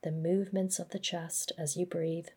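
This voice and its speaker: A woman gently guiding a body scan meditation, speaking in a measured, instructional way. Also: fairly low pitch, little pitch movement, slightly rough. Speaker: mindfulness practice guide.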